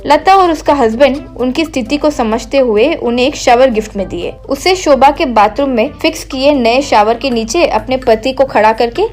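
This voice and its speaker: A woman's voice: sits high, normal speed, hardly any pauses, darkish tone. A woman narrator reading a scripted comic story. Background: faint music.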